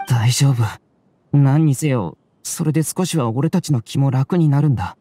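An actor playing an anxious, self-blaming young student in an anime game, with a husky, breathy voice. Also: male voice, medium pitch, normal speed, no echo, natural voice. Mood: resigned, contrite. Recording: soft music behind.

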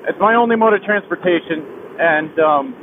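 High-voiced man on a tinny helmet mic. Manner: halting, heavy-hearted, restarts often. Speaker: motovlogger. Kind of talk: personal confession while riding. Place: on a motorcycle outdoors on a highway. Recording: tinny helmet mic with wind and road noise.

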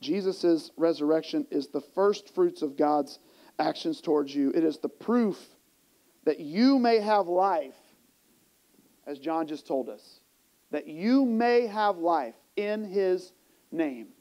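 Male preacher, 40s, high voice, emphatic sermon delivery shifting between soft and forceful phrases, clip-on mic in a church. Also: expressive, slow, lo-fi sound. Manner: emphatic, rhetorical questions, swings between hushed and raised delivery. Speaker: pastor. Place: church sanctuary stage, indoors. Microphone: small mic clipped to the chest.

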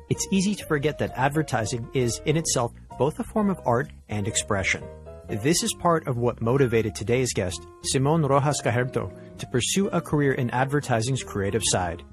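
A man in the middle of his range hosting a podcast. He speaks in a measured, clear announcer manner, with a faint music bed beneath him. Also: mid-range voice. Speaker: podcast host. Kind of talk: scripted intro then interview questions.

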